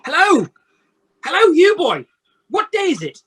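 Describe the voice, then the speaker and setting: An actor playing an emotional, repentant old male miser in an amateur radio play, with theatrical, halting exclamations. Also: extremely high pitch, huge pitch swings, fairly bright tone, heavily acted. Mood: giddy joy. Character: repentant old male miser, desperate then giddily joyful. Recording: home video-call audio.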